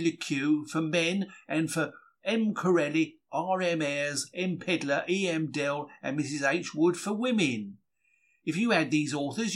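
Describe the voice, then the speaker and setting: A man's voice: a little high, a bit bright. A man reading a diary as a chatty, self-deprecating 1940s market bookseller, wry and plain-spoken. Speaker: reader.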